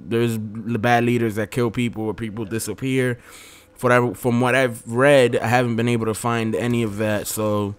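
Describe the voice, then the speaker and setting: A man's voice: mid-range voice, clear, resonant tone. A young man from the Dominican Republic giving a casual, rambling opinion vlog, often restarting and correcting himself. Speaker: vlogger.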